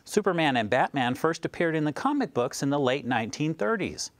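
A man's voice: fairly high pitch, big pitch swings, fairly quick, dry recording. A middle-aged American man presenting a scripted news feature.

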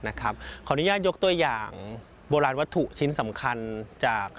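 A man's voice: a little high, lively intonation, normal speed, fairly bright tone, slight background noise. A young man explains history in a polite, formal way during an outdoor interview. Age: young adult. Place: outdoors at ancient stone ruins.

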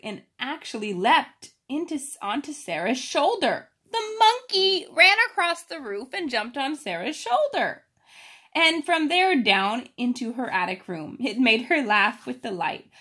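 A woman reads a children's story aloud with expressive emphasis, pausing to explain it informally. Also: a little high, breathy edge. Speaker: storybook reader.

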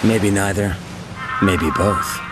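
An actor playing an earnest, self-serious police officer with a clipped, dramatic delivery in a video game. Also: male voice, sits low, fairly even intonation, takes it slowly. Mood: dramatic resolve. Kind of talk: video game cutscene acting. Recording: music behind the voice.

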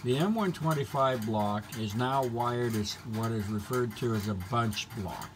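A man's voice: medium pitch, measured pace, a little hiss or hum. A man narrating an explanatory demonstration in a methodical, matter-of-fact, technical manner. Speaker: hobbyist presenter.

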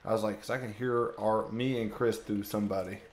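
A man's voice: on the low side, fairly even intonation, fairly quick, crowd noise. A man joking and swearing casually while playing a horror game with friends. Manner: joking, profane. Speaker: video game player. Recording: echoey voice chat with faint noise.

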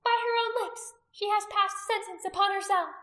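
A woman's voice: very high voice, moderate pace, bright, forceful tone, echoey room, voice pushed up, full character voice. A woman narrator playing a cruel, commanding pagan priestess in an audiobook story, accusing and imperious. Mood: fanatical triumph.

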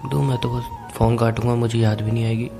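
A man's voice: mid-range voice, level tone, fairly quick, vocal fry. A young man speaks on a recorded, emotional phone call. He sounds insistent and pleading, in a private conversation. Music plays faintly behind his voice. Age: young adult.

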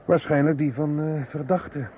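A man's voice: fairly high pitch, fairly even intonation, normal speed, narrow, tinny audio, lightly acted. An actor playing a wry, composed man under suspicion, understated and a little hesitant, in an old radio drama. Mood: wry, resigned. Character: wry, composed male murder suspect and amateur helper. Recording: thin vintage radio recording, faint noise.

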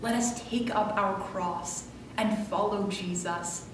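Slow-praying woman in an echoing church. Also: mid-range voice. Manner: measured, solemn, phrase-by-phrase delivery. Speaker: minister leading prayer. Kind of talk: scripted church prayer. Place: church sanctuary, at the pulpit. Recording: roomy distant church recording with clear noise.

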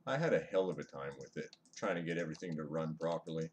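A man's voice: a bass voice, lively intonation, quick pace. A man streaming a game live from his computer, chatting with viewers and muttering over his play, recorded on a webcam mic set back from him. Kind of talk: casual live-stream chat while gaming. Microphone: webcam microphone, away from mouth. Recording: faint hiss from webcam mic.

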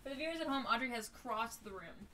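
A woman's voice: fairly high pitch, animated, fast, sharp tone, slight background noise. A young adult woman co-hosting a podcast, chatting casually in a wry, giggly way. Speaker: podcast co-host. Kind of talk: casual chat.

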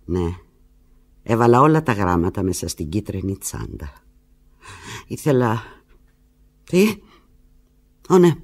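An actress playing an anguished, lovelorn woman alone on the telephone in a theatrical radio monologue, pleading and fretful, with halting replies. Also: very deep voice, big pitch swings, a little mellow, breathy edge, full character voice. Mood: subdued, tender.

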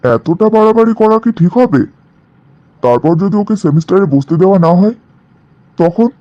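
High, mellow doting young boyfriend played by a man. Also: lively intonation, normal speed, no room sound, muffled recording. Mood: doting, placating. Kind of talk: animated cartoon drama dialogue.

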